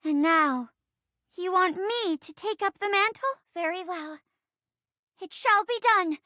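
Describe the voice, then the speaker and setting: A noble little wood sprite from a video game's fantasy play. The voice is extremely high and bright, delivered slowly and grandly. Every phrase is earnest and melodramatic. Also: female voice, no echo, clean studio sound, full character voice. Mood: solemn, resolute. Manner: grand, formal, breathless theatrical delivery. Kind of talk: stagey fantasy play acting in a video game.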